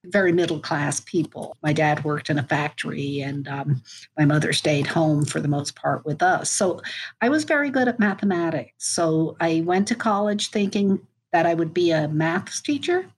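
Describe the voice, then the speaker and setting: A woman in her fifties with an Irish accent talks through her career in a measured, reflective way, often pausing to restart a thought. Her voice sits low for a woman. A faint hiss of noise runs under the recording. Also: animated. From Ireland. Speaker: university IT executive, guest. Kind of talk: conversational interview.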